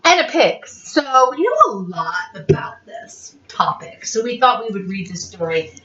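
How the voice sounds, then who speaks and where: A woman reading a story aloud informally, with chatty asides and an animated, giggly manner. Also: fairly high pitch, unhurried, lo-fi sound. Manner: animated, giggly, easily cracked up.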